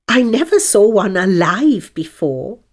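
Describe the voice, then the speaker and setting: Storybook little girl, played by a woman: curious, courteous, high lilting voice. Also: big pitch swings, measured pace, a little mellow. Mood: amused wonder.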